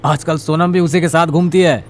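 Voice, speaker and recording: A man's voice: a little high, fairly even intonation, brisk, lightly acted. A man playing a young sidekick in an audio drama, deferential and cautious as he advises his leader. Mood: cautious, concerned. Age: young adult. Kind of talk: Hindi audio drama acting. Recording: vehicle noise behind the voice.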